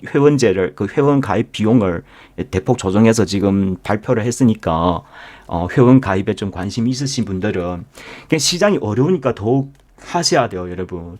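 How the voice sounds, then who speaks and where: Male investment instructor, lowish voice, emphatic live lecture delivery with rhetorical questions to the audience. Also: darkish tone. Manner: addresses listeners directly and repeatedly. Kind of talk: live market lecture with membership pitch.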